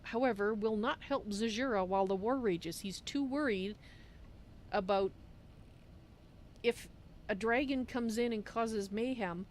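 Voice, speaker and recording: A woman's voice: a little high, unhurried, long pauses, close to an everyday voice. Woman playing a chatty cat-folk adventurer, noisy background. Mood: earnest, persuasive. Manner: speaks of self in third person. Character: female Khajiit cat-folk adventurer, polite, chatty, persuasive. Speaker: roleplay streamer. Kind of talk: improvised in-character fantasy game roleplay.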